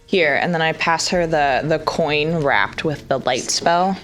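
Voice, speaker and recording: A woman's voice: low voice, unhurried, fairly bright tone, soft background music. A woman playing a tabletop roleplaying game, casually describing her character's actions and speaking in character.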